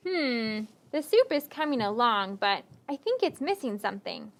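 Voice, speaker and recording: Woman voicing a high, lilting wandering stranger puppet. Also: sing-song, moderate pace. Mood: musing, hinting. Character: friendly, tired, hungry wandering stranger puppet, cleverly coaxing. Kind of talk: children's puppet show acting.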